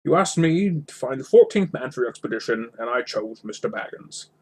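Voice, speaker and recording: Guy doing a stern old storybook wizard, commanding, emphatic, kinda husky. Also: mid-range voice, lively intonation, a little fast. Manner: authoritative, emphatic.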